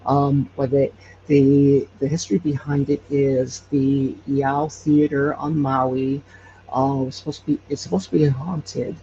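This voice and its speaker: Halting man with a gravelly, fry-edged voice. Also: medium pitch, dark tone, dull audio, slight background noise. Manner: halting, hesitant with repeated false starts. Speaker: podcast creator and guest. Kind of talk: casual interview storytelling.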